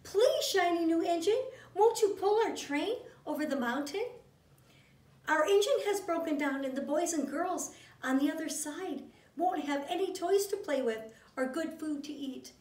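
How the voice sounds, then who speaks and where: A woman voicing a cheerful little toy clown who pleads in a high, eager voice. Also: expressive, moderate pace, darkish tone, reverberant, far from the mic. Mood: pleading.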